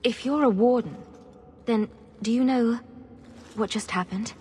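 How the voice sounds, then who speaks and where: A woman's voice: on the high side, a little slow, darkish tone, close to an everyday voice. An actress playing a wry, questioning female adventurer hero in a fantasy video game. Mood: puzzled, probing. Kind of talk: video game cutscene acting. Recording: echoing reverb, background noise.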